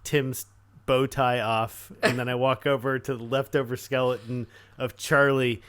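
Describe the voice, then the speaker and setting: Man playing a tabletop game, mid-pitched. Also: flat delivery, unhurried, a bit bright. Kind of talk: casual improvised roleplay chat.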